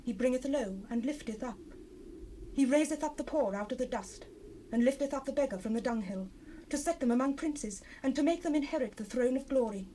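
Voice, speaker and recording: Devout sorrowful bible wife, high fervent voice, old noisy cassette drama. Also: female voice, expressive, fairly quick, warm tone. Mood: fervent, exalted. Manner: fervent, declamatory, formal archaic diction. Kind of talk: dramatized scripture reading, solemn audio drama. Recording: noisy unprocessed 1970s cassette rip.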